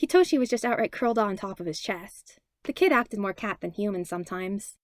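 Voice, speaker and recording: A woman's voice: mid-range voice, lively intonation, brisk, no echo, clean studio sound. A woman reading a story aloud as scripted narration. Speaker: podfic reader.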